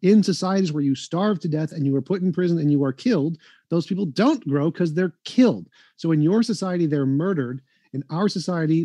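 A man in a heated live debate, talking insistently and fast, often cutting in over the other speaker. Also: fairly high pitch, warm tone, dry recording. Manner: insistent, rapid-fire, talks over others.

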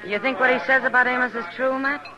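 An American actress playing a warm, familiar frontier woman in a 1950s radio western, talking like a concerned friend. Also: high-pitched, steady tone, fast, lo-fi sound, over music, natural voice. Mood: friendly, concerned. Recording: very noisy old radio recording.

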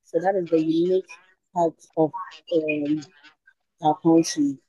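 A woman's voice: low-pitched, fairly even intonation, measured pace, mellow. A woman with a Ghanaian accent speaking conversationally as a panel guest. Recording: dull, muffled, dry audio.

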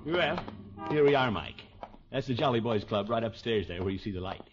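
An actor playing a blustery, kindly middle-aged uncle with a chuckling, avuncular manner in a 1950s radio sitcom. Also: male voice, fairly low pitch, expressive, fairly quick, over music, lightly acted. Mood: jovial, kindly. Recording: noisy, dull 1950s radio recording.

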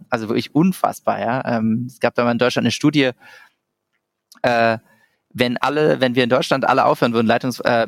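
A man's voice: medium pitch, creaky, no echo, studio quality. A man in his thirties from Germany, talking casually as a podcast guest, with many fillers and restarts. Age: 30s. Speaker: podcast guest, company founder. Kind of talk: casual interview talk.

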